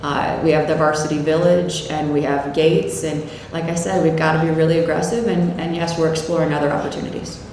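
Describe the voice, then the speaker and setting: A woman's voice: deep voice, steady tone, a little fast, few pauses, darkish tone, recorded from a distance. A woman speaking as an athletic director, answering press conference questions in an informal, conversational way. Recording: very echoey room with faint noise.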